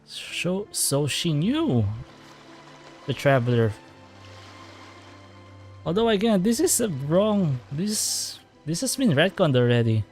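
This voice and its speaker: Chatty, animated game commentator. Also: mid-range voice, takes it slowly, long pauses, soft background music. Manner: musing, chatty, reacting aloud. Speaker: game streamer. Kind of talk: casual playthrough commentary.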